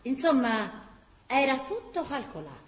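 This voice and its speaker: An actress playing an expressive village storyteller with a Tuscan accent, earthy and theatrical, mimicking scandalized villagers. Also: female voice, high voice, unhurried, echoey room, long reverb tail. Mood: wry narration.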